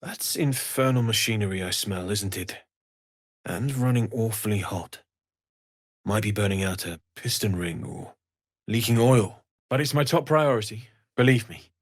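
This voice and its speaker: Man acting kindly, husky-voiced fantasy blacksmith. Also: fairly low pitch, moderate pace, lightly acted. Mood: grave, concerned. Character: kindly, earnest male tiefling blacksmith, gentle and world-weary. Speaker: video game character, smith and merchant. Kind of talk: video game voice acting.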